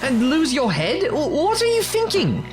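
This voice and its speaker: A man's voice: extremely high pitch, wildly swooping pitch, measured pace, faint music behind, exaggerated voice. An actor playing a defiant, earnest local man in an audio drama, speaking emphatically. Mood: worried. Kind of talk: full-cast audio drama acting.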